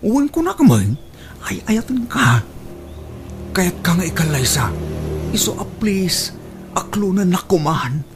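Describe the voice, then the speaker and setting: An actor with an Ilocano accent playing a smitten, eager young bachelor wooing in an exaggerated comic radio-drama style. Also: male voice, high voice, sing-song, unhurried, long pauses, noisy background, heavily acted. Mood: pleading.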